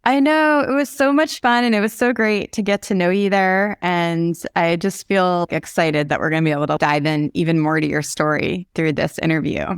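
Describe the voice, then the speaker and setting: A woman's voice: fairly low pitch, fairly even intonation, moderate pace, few pauses, clean tone, no room sound, clean studio sound. A woman with an American accent hosting a podcast, speaking in an upbeat, enthusiastic way as she introduces and interviews. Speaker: podcast host.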